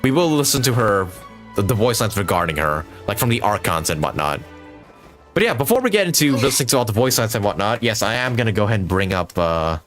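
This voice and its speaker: A man's voice: fairly low pitch, very animated, quick pace, fairly bright tone. A man giving casual livestream game commentary, rambling and enthusiastic, with casual swearing. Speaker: game streamer. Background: game music.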